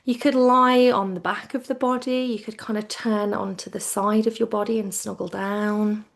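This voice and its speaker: A woman teaching yoga nidra as a guided relaxation, soothing and hushed, with phrases that trail toward a whisper. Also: mid-range voice, fairly even intonation, normal speed, vocal fry.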